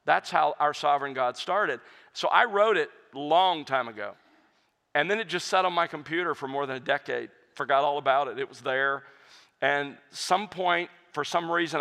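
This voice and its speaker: A man's voice: fairly high pitch, bright, forceful tone. A man, a pastor and hymn writer, answering audience questions in an earnest, reflective way with frequent restarts. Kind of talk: conversational Q&A talk. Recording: reverberant hall.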